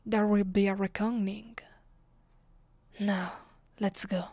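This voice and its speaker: A woman with an Italian accent playing a commanding, vengeful vampire in an ASMR roleplay, her voice soft and breathy, often sinking to a whisper. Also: mid-range voice, flat delivery, slight background noise. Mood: calm, plotting. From Italy. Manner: slow, deliberate, imperious. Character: commanding, vengeful female vampire, secretly affectionate. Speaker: ASMR voice actress.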